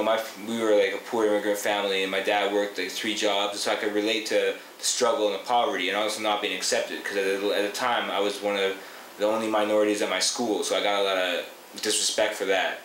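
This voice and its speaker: A man's voice: on the low side, fairly bright tone. A man in his twenties who grew up in Canada, speaking earnestly as a rapper in an interview. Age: 20s. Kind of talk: casual interview talk. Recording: echoey room, faint noise.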